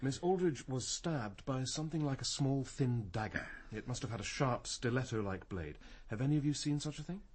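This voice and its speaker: A senior English detective commander in a radio crime drama. He is calm and courteous, questioning formally, with a slightly husky voice that moves widely in pitch. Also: moderate pace, hardly any pauses. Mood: grave, businesslike.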